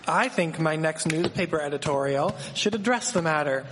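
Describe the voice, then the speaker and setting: A man's voice: fairly high pitch, normal speed, natural voice. A man playing an earnest, civic-minded Victorian newspaperman, declaiming in a live radio drama. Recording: echoey hall, noisy with clicks.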